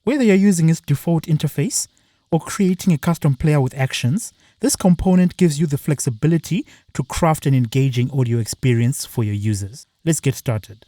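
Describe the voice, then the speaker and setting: A man presenting a screen-recorded software tutorial, explaining each step plainly with the odd filler. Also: fairly high pitch, normal speed, no room sound.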